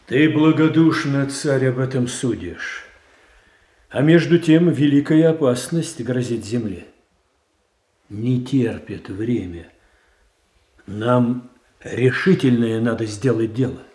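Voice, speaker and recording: Actor as slow, dark-voiced stern royal adviser. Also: male voice, mid-range voice, lightly acted. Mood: grave insistence. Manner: measured, formal, weighty declamation. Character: stern, calculating royal adviser, grave and unyielding. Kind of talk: classical Russian stage tragedy in radio adaptation. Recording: roomy, echoing stage sound.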